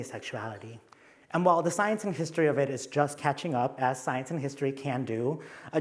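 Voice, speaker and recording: A man's voice: medium pitch, a little mellow. A young adult man from Mexico with a Mexican accent, giving a conference talk with slides and playful, self-deprecating asides. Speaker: conference presenter.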